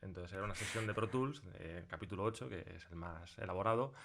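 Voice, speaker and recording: Lowish-voiced Spanish man, thoughtful and halting. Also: creaky, fairly bright tone, a little hiss or hum. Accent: Spain. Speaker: sound designer being interviewed. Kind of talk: conversational technical explanation.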